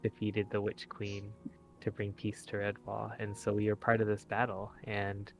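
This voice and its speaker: A man with a slightly low voice gives a casual recap as a roleplay player. He rambles informally, feeling his way through the story. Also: completely flat delivery, normal speed, creaky, faint music behind, poor audio. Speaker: player recapping a tabletop game.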